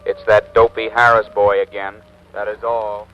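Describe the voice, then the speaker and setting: A man playing a businesslike police radio dispatcher with clipped, deadpan announcing, in a 1940s radio drama through a radio effect. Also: fairly low pitch, normal speed. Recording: muffled police radio over music.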